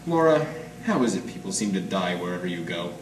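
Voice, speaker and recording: A man's voice: sits low, sing-song, a little fast. A man playing a world-weary, cynical small-time detective in 1940s radio noir style, with wry, clipped hard-boiled slang. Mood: wry, guarded. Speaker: amateur stage radio-drama actor. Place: live performance in an art gallery. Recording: distant mic in echoey noisy room.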